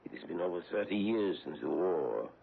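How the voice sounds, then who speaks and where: Casting: sinister European government hunter, rock-bottom husky voice, formal and insinuating, old muffled radio drama. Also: male voice, low voice, very animated, fairly quick, full character voice. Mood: sly, probing. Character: menacing foreign government investigator hunting a woman from his homeland. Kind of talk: radio mystery drama acting. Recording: muffled narrow-band old radio broadcast.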